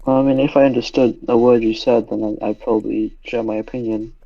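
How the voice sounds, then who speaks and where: Blunt teasing guy, creaky husky voice, muffled lo-fi mic. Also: mid-range voice, steady tone, brisk, dry recording. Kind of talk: casual chat.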